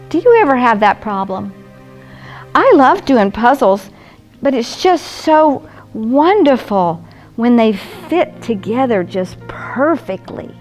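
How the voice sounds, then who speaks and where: An older woman with a high voice tells stories to small children at an unhurried pace. She coaxes them along in a sing-song, grandmotherly way, asking them questions as she goes. Music plays behind her. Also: very animated. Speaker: children's storyteller and host.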